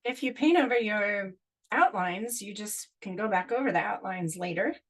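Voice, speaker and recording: A woman co-hosting a live painting class, with a voice that carries. She chimes in with quick, friendly tips and encouragement in a mid-range tone. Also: medium pitch, animated, a bit bright. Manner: chatty, encouraging interjections. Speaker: co-host of painting session.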